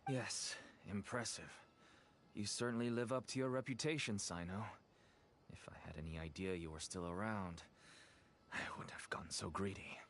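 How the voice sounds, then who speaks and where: A man's voice: on the low side, moderate pace, noisy background, close to an everyday voice. An actor playing a placating, evasive smuggler and father in an anime video game. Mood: resigned, flattering. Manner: placating, smooth-talking.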